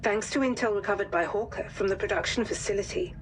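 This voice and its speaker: A woman playing a composed wartime intelligence officer in a game, delivering formal, grave, measured mission briefings. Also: mid-range voice, slight background noise, lightly acted, low-quality recording. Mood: grave, urgent. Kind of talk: scripted dramatic briefing narration.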